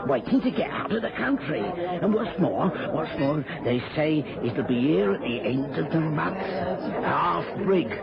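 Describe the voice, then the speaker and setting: A man's voice: on the high side, expressive, a little slow, gravelly. An actor playing a shifty underworld informant in a radio drama, hesitant and sly as he rambles. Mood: sly, evasive. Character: shifty, crafty underworld informant with rheumy eyes. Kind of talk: mid-century radio drama acting. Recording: muffled old radio, loud pub crowd.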